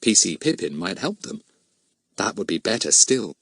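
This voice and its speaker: A man's voice: on the low side, very animated, moderate pace, fairly bright tone, husky, no echo, clean studio sound. A man narrating a children's story from a script as an audiobook reader.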